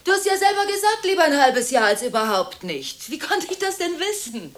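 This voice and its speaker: A woman's voice: sits high, big pitch swings, a little fast. An actress playing an agitated, contradictory woman in a German radio drama, talking impulsively through one side of nonstop phone calls. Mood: agitated, defiant. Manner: breathless, impulsive, swinging between protest and hushed brooding.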